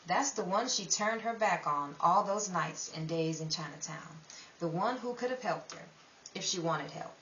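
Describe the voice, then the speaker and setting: A woman's voice: lowish voice, lively intonation, moderate pace, a bit bright. A woman playing a world-weary, streetwise narrator of a 1930s underworld tale, in dramatic old-time radio style. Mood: somber. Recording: echoey, distant mic, dull, faint hiss.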